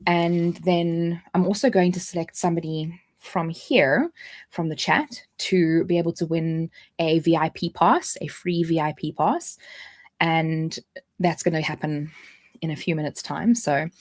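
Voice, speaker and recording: A woman's voice: low voice, unhurried. A woman with a South African accent hosting a casual livestream, chatty and jumping between points with frequent restarts. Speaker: livestream host.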